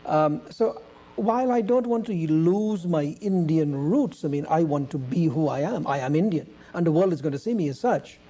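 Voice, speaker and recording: Lecturer, mellow voice. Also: mid-range voice, moderate pace. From Trinidad. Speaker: college lecturer on a panel. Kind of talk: panel discussion.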